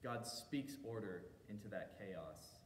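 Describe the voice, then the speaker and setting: A man delivering a sermon as a pastor, telling the story in measured phrases with deliberate pauses and emphasis. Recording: echoey hall reverb, faint low rumble.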